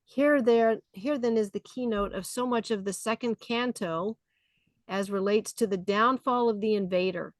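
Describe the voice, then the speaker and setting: A woman's voice: medium pitch, moderate pace, no room sound. A woman leading a study group, reading scripture aloud and explaining it conversationally. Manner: conversational, with fillers between readings.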